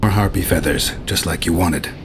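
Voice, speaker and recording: A man plays a mercenary monster hunter in a fantasy video game. His voice is deep and gruff, his delivery wary, deadpan and terse. There is clear noise in the background. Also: moderate pace, natural voice. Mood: blunt, suspicious.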